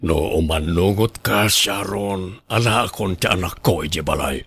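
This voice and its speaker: Creaky-voiced earnest young father. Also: sits low, normal speed, fairly bright tone, heavily acted. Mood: earnest, coaxing. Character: earnest young father pleading with former partner. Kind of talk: Ilocano radio drama acting.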